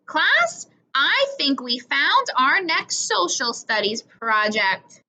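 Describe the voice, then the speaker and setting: A woman voicing an encouraging schoolteacher in a storybook, instructive and measured as she addresses her class. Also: high-pitched, sing-song, sharp tone, full character voice. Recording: dull lo-fi recording.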